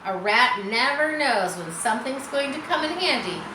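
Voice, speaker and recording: A woman's voice: on the high side, expressive, normal speed, voice pushed up, lightly acted. A woman voicing a surly, boastful male rat in a storybook reading, with a self-satisfied, dismissive manner. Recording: roomy echo, faint background noise.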